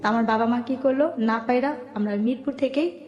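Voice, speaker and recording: A woman's voice: fairly high pitch, a little fast, lo-fi sound. A woman with a Bangladeshi accent giving personal testimony in colloquial, conversational speech, narrating step by step and quoting what people said. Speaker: interview guest telling her life story. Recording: echoey room with music underneath. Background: background music.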